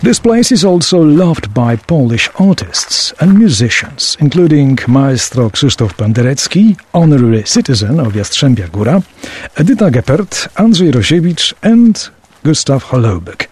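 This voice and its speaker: A man's voice: a little high, sing-song, normal speed, a little mellow, husky. A man playing a knowledgeable, obliging local tour guide in a scripted audio drama, speaking in a formal, presentational way. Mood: informative, obliging.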